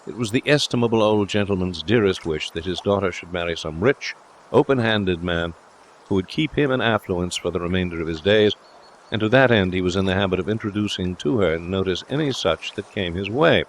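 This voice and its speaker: A man's voice: low-pitched, brisk, a little hiss or hum. A man reading literary fiction aloud as an audiobook narrator, in a scripted storytelling style.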